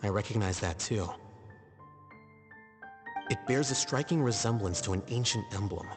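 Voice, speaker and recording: An actor playing a calm, aloof scholar in a video game, speaking in a measured, dry and formal way. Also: male voice, fairly low pitch, over music, natural voice. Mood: analytical.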